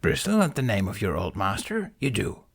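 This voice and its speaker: A man voicing an ancient, small green alien sage who hums and speaks in backwards word order, slow and soft. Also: medium pitch, sing-song, gravelly, husky, heavily acted. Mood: cryptic probing.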